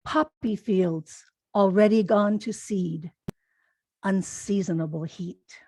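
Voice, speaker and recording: A woman reads short poems at a slow, measured pace, leaving space between phrases. Her voice sits at a middle pitch, and the sound is close and dry. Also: warm tone, dry recording. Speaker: haiku poet. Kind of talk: poetry reading.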